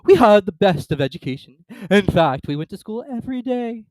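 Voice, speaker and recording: A reader voicing the Mock Turtle, a sorrowful old sea creature who talks slowly with heavy sighs. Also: medium pitch, very animated, a little mellow, dry recording, pitched above the performer's own voice, natural voice. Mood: mournful. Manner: slow, sighing, drawn-out delivery.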